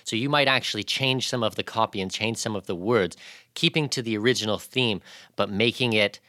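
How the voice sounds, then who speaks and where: A man's voice: on the low side, brisk, pushed and bright, no room sound, studio quality. A man hosting an interview, summarizing and affirming his guest's points. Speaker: interview host. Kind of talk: interview conversation.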